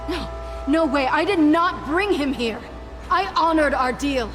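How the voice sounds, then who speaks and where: An actress playing a sarcastic, defiant cat burglar in a video game. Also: female voice, high voice, lively intonation, a little slow, a bit bright, soft background music. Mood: panicked. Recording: game score under the voice.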